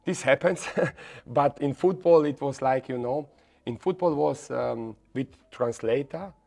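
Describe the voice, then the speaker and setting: Gravelly man in halting English. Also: medium pitch. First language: Croatian. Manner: halting, repeats words, lively when telling stories. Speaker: football coach, guest. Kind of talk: casual interview in non-native English. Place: dark studio set with red armchairs. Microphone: lapel mic.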